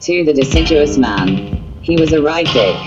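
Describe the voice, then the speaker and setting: A woman reading a scripted story as narrator in a flat, literal, synthetic manner. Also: sits low, moderate pace, lo-fi sound. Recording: music under the voice. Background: guitar music.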